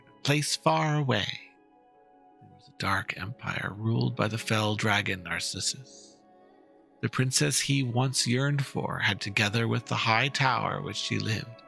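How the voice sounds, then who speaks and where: A man's voice: lowish voice, gravelly, sharp tone. A man narrating a fantasy story in a solemn, theatrical dramatic reading.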